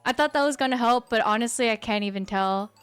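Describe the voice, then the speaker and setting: A woman's voice: on the high side, fast, clear, resonant tone, no room sound, faint music behind. A young woman in her twenties, chatting casually on a live stream in a laid-back way, reading chat aloud.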